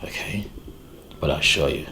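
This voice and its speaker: A man's voice: moderate pace, bright, forceful tone, whispered, close to an everyday voice. An actor playing an earnest husband in a film drama, soothing and pleading, repeating his words insistently. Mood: gentle, consoling. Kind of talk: naturalistic film drama acting. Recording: roomy echo, faint background music.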